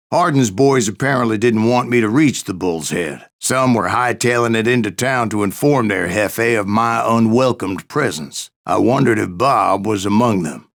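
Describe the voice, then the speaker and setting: An actor playing a grizzled old bounty hunter, a boastful, folksy storyteller with a gravelly Western American drawl in a video game. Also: male voice, on the low side. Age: older. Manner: folksy, salty, yarn-spinning. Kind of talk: dramatic first-person video game narration.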